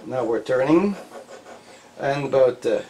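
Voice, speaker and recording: A man's voice: medium pitch, lively intonation, darkish tone. Middle-aged man explaining, noisy workshop. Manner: halting, hands-on explaining. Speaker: hobby machinist host. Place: cluttered garage machine shop.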